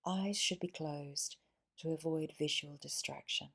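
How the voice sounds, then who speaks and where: A woman leading a guided yoga nidra meditation in a soothing, measured way, pausing between short phrases. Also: low voice, fairly even intonation, vocal fry, a bit bright, husky.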